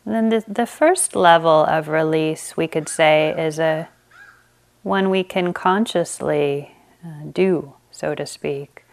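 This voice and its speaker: Slow, soft-spoken woman teacher guiding meditation, lowish voice with some fry, smooth tone. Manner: slow, gentle guiding with long pauses. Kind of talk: guided meditation.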